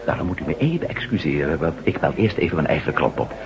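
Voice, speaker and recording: An actor playing a headstrong, sardonic ex-astronaut turned newspaper reporter in a radio drama, with a wry, theatrical delivery. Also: male voice, rumbling low, expressive, quick pace, crowd noise, full character voice. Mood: brisk, amused. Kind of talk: radio drama acting in Dutch. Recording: muffled radio drama recording, clear hiss and hum.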